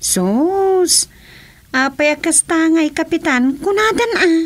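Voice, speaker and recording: An actress with an Ilocano Filipino accent playing a nosy, exclaiming young village wife in a radio comedy drama. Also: female voice, very high-pitched, lively intonation, takes it slowly, full character voice. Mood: incredulous. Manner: exclamatory, reactive.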